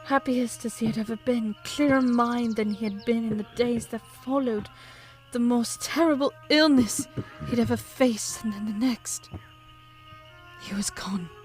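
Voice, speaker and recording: A woman's voice: fairly high pitch, a little slow, darkish tone, soft background music, close to an everyday voice. A woman playing an older, devoted, grieving widow of a composer, telling her story formally and wistfully in a period audio drama.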